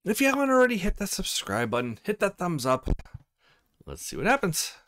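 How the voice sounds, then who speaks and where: A man's voice: high voice, wildly swooping pitch, a little fast, fairly bright tone, a little hiss or hum. A man hosting a let's play, giving casual commentary on a visual novel game.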